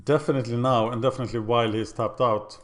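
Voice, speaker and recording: A man's voice: medium pitch, fairly even intonation, fast. Swedish man thinking aloud over card games. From Sweden. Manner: self-deprecating, thinking aloud. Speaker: game commentator. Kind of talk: casual gameplay commentary.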